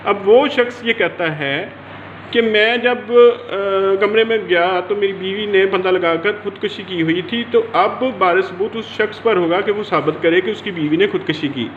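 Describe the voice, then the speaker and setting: High-pitched Pakistani man lecturing, echoey room. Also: unhurried. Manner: didactic, repeats and restates points. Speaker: law lecturer. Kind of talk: explanatory lecture to camera or mic, mixing in English legal terms. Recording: roomy echo, dull lo-fi sound, faint noise.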